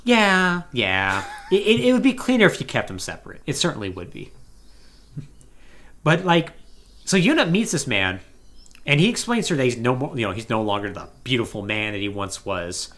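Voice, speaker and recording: Animated man recapping a story. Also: mid-range voice, normal speed. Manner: animated, conversational, acting out paraphrased dialogue. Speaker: podcast host.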